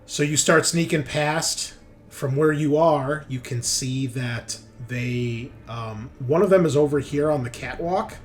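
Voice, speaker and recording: A man's voice: mid-range voice, measured pace. A man improvising narration as game master of a tabletop roleplaying game, recorded with faint noise behind him.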